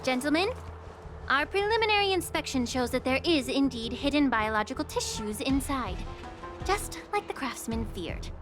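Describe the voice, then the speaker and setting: Brisk, lighter-voiced female inspector. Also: high-pitched, lively intonation, over music. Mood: businesslike. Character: businesslike, no-nonsense female inspector. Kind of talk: video game cutscene acting.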